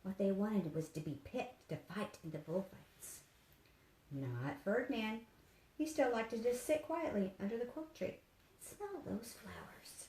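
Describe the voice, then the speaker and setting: Female storyteller for young kids, expressive read-aloud delivery, mid-pitched, recorded in an echoey room with faint noise. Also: measured pace. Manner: simple, animated storytelling addressed to young children. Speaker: therapy dog handler and volunteer reader.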